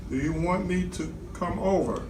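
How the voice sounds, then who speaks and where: A practical, questioning friend in a radio play read by an older amateur man, with a slightly high, matter-of-fact voice. He is far from the mic in an echoey room. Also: normal speed, lightly acted. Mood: practical, puzzled. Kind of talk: amateur radio theatre reading. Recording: echoey room, distant mic, noisy background.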